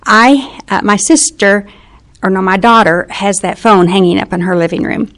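A woman's voice: medium pitch, normal speed. Chatty older woman from Oklahoma. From western Oklahoma. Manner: chatty, searching for words. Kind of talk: reminiscing in an interview.

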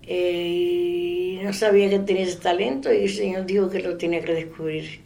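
A woman in her eighties from the Dominican Republic with a Dominican accent, giving a soft-spoken oral history interview. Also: on the low side, fairly even intonation, normal speed, vocal fry. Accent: Dominican Spanish. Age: 80s. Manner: soft-spoken, trailing off into quiet.

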